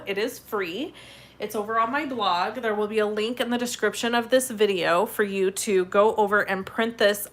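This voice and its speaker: A woman with a stuffed-up head cold hosting a live card-making tutorial in a chatty, upbeat way, sniffling and clearing her throat now and then. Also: mid-range voice, fairly bright tone. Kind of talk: casual live tutorial chat.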